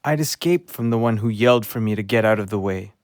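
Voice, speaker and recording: A man's voice: on the low side, quick pace, no echo, studio quality, natural voice. An actor playing a small, earnest, devoted rescue dog who tells his story wistfully in an audio play. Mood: pained.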